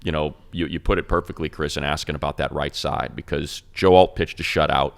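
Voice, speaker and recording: A man with an extremely deep, fry-laced voice talks football on a casual sports show. He is a radio play-by-play announcer, and he analyzes at length, circling back and restarting his sentences as he builds his points. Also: fairly even intonation, fairly quick. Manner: rambling and analytical, many restarts.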